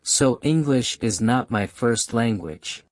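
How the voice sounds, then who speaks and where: A man's voice: mid-range voice, lively intonation, takes it slowly, no echo. A man with a Hong Kong Cantonese accent, a native Cantonese speaker, giving motivational advice to his viewers, emphatic and repeating key phrases. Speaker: channel host giving language advice. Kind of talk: motivational talk to camera.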